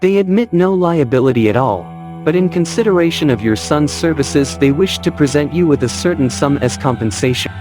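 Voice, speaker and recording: A man's voice: fairly high pitch, lively intonation, a little fast, music in the background, lightly acted. A man playing an uneasy, formal company messenger in a dramatized audio story, speaking hesitantly. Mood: formal, apologetic. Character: ill-at-ease, formal company messenger bearing bad news.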